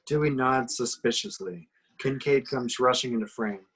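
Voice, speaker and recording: A man's voice: medium pitch, moderate pace, fairly bright tone, slightly rough. A man reading screenplay action lines dramatically at a table read, punching out the sudden action words. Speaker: reader of the action description.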